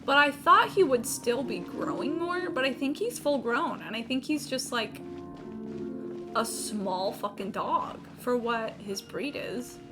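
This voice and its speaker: A woman's voice: on the high side, expressive, unhurried. A young woman chatting casually on a gaming livestream, informal, rambling and sweary. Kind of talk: casual gaming stream chat. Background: game music.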